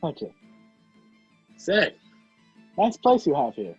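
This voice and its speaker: Young man acting a higher-voiced, sardonic fugitive. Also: huge pitch swings, slow, slightly rough, no echo, exaggerated voice. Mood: nervous politeness. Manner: dry sarcasm. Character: wisecracking fugitive man, sardonic, handcuffed to a woman. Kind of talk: amateur radio play acting. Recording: dull lo-fi video call audio. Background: music.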